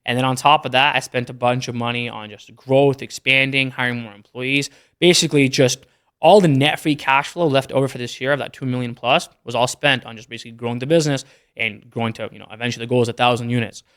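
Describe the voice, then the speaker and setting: A man's voice: mid-range voice, steady tone, quick pace, a bit bright, clear, resonant tone. A young man from Canada, a podcast guest talking casually and matter-of-factly about his business numbers. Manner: matter-of-fact, rattles off numbers. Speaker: guest, entrepreneur. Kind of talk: casual interview chat.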